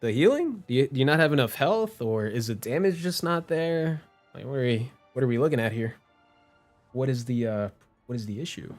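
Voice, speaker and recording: A man streams a game with informal, rambling commentary. His pitch moves around in an animated way, with a creaky edge. Music plays behind his playful chat. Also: a little fast.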